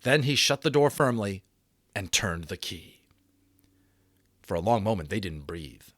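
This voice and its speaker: A man giving a dramatic audiobook reading, expressive and full of theatrical pauses. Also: fairly low pitch, dry recording. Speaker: storyteller. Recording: dry close recording.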